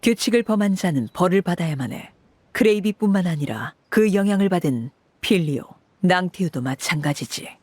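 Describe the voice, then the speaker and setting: A woman voicing a stern, cold woman who runs an orphan house as its "Father", speaking in a cool, measured, commanding way. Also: lowish voice, animated, darkish tone, husky, dry recording. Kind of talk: game voice acting.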